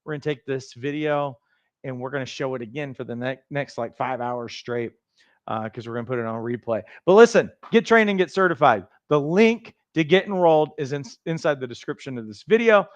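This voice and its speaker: A man with a raspy voice hosting a livestream, giving a fast, emphatic, motivational pitch for coach training. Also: lively intonation, no echo, muffled recording. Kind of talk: energetic sales pitch on a livestream.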